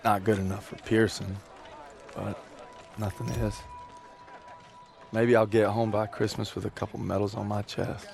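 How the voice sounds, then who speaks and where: A man's voice: fairly low pitch, flat delivery, normal speed, gravelly, long pauses, darkish tone. A man playing an earnest young American soldier, narrating a letter home in a reflective, plainspoken way for a game. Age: young adult. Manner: informal, plainspoken.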